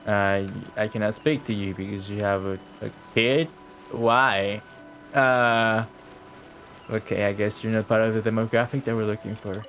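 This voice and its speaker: Casual, low-voiced male game streamer. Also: fairly even intonation, moderate pace, a bit bright, faint music behind. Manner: muttering reactions, talking back to game. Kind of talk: casual livestream game commentary.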